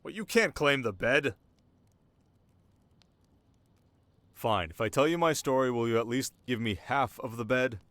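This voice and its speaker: An actor playing a sharp, haunted young king in an intimate ASMR romance roleplay, talking wryly and dramatically to his listener. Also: medium pitch, lightly acted. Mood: wry teasing. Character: young desert king, sharp, wry, haunted, teasingly tender.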